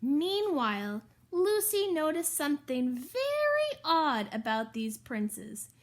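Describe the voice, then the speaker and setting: A woman reading a children's story aloud in an expressive, emphatic way, with chatty asides to the listeners. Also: high voice, slow. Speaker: storybook reader.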